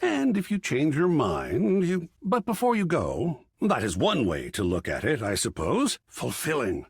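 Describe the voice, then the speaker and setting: A man's voice: on the high side, huge pitch swings, a little slow, vocal fry, breathy edge, no room sound, clean studio sound, heavily acted. A man voicing a whimsical, pretentious living artwork in a video game, with a theatrical, florid and effusive manner. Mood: earnest, courteous. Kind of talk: video game character acting.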